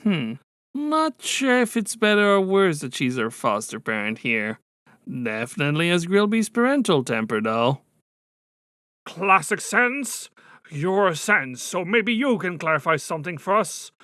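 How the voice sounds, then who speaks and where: A man's voice: high-pitched, big pitch swings, measured pace, pushed and bright, dry recording, clean studio sound, exaggerated voice. A man playing an inquisitive, casual asker in a cartoon comic dub. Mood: musing.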